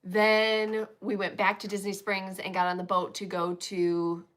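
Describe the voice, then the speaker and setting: A woman's voice: mid-range voice, little pitch movement, unhurried, a bit bright. A woman co-hosting a casual live stream from a phone, chatting and laughing as she greets viewers. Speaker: co-host of a stitching live stream. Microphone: phone camera.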